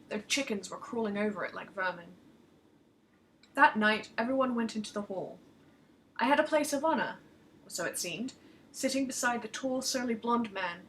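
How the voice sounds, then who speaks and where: A woman reading a first-person story aloud with expressive narration, as an author performing her own work. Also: fairly high pitch, slight background noise, poor audio.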